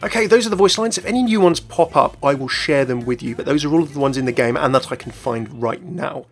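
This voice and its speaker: Gaming news host, male, slightly high voice, scripted commentary with music underneath. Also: fast talker.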